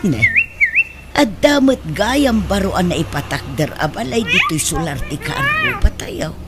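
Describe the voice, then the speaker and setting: A woman's voice: fairly high pitch, huge pitch swings, unhurried, very noisy. A woman with an Ilocano accent playing a humble, affectionate older mother in a radio drama, meek and emotional. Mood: astonished. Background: loud music.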